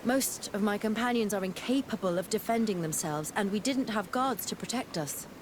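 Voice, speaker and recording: An actress playing a determined, wary scientist-explorer in a fantasy game, earnest and articulate. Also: female voice, mid-range voice, moderate pace, noisy background, natural voice. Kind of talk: scripted game cutscene acting.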